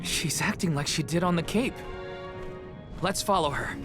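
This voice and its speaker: A man's voice: a little high, moderate pace, natural voice. A man voicing an easygoing, practical young hero in a video game, with a casual manner. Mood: decisive. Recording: game music behind the voice.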